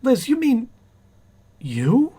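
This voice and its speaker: A man acting a doting, excitable, stammering husband in a 1940s-style radio comedy. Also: extremely high pitch, very slow, slightly rough, full character voice. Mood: flustered, amazed.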